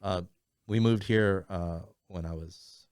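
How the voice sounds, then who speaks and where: A man's voice: sits low, slow, darkish tone, smooth, dry recording, clean studio sound. A man from Thailand, raised in Arizona, telling stories as a podcast guest in a casual, rambling way with many fillers and restarts. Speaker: podcast guest, business owner. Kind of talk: casual conversational storytelling.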